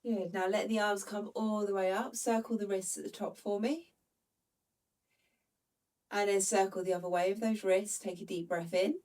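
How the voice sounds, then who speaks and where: A woman, a bit congested from hay fever, calmly guiding a follow-along Pilates workout as the instructor. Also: medium pitch, normal speed, far from the mic. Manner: calm, gentle cueing.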